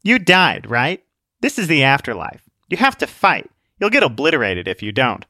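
A bossy, sarcastic young sniper girl, as a male narrator puts her on, pitched well above his usual voice and pushed louder. She talks in a lively, up-and-down storybook reading, recorded close and dry. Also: normal speed, no echo, heavily acted. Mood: brash. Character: brash, pushy young female sniper girl.

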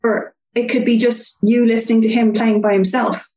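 A young woman with an Irish accent tells stories in an easy, chatty way, sprinkling in ums. Her voice sits a little high. The room around her is noticeably echoey. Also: flat delivery, normal speed, dark tone. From Ireland (Cork). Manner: chatty, storytelling with filler ums. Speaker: guest fan. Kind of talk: casual interview chat.

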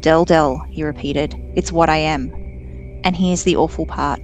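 A woman's voice: low-pitched, steady tone, unhurried, darkish tone, soft background music, rough recording. A woman reading aloud expressively from a psychological thriller novel, narration and dialogue.

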